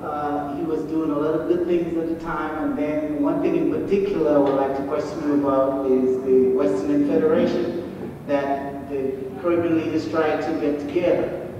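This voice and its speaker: Man from Trinidad asking a polite question, monotone, heard from far across a dull-sounding hall. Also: on the high side, moderate pace, noisy background. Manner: polite, formal, hesitant. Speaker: audience member asking a question. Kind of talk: formal audience question. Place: lecture hall audience.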